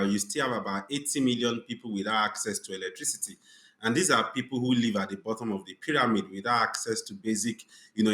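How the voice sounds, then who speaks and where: A man's voice: lowish voice, a bit bright. A man in his forties from Nigeria, answering interview questions over a video call from his office, fluent with lots of "you know" fillers. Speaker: company executive guest. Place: home office. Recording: roomy video call audio.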